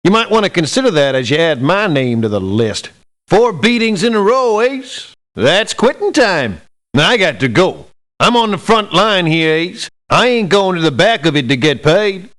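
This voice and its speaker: An actor playing a disgruntled, streetwise mercenary in a video game, with blunt, sarcastic delivery. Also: male voice, high voice, sing-song, normal speed, a bit bright. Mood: sardonic, resentful. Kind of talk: video game character lines.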